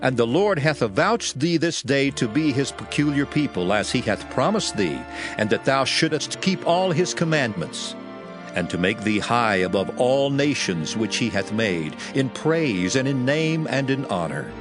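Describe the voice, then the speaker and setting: A man's voice: medium pitch, sing-song, normal speed, over music, natural voice. An actor playing a solemn, commanding prophet who addresses his people in archaic, oratorical scripture. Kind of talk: dramatized scripture reading.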